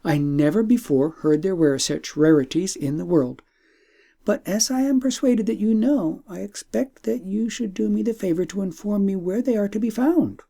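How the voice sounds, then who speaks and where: Fairy-tale young princess played by a male reader, eager and courteous, relaxed tone with some creak, formal entreating delivery. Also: high voice, normal speed, voice pushed up. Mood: eager, grateful. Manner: formal, pleading politeness.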